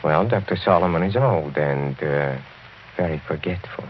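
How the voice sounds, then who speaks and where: A dull, hissy old radio recording carries a sinister older count. His voice is deep and deliberate, with polished courtesy laid over menace. He speaks as a decadent nobleman in a horror play. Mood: cunning, explanatory. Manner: suave, chuckling, deliberate menace with courteous hesitations. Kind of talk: radio horror drama acting.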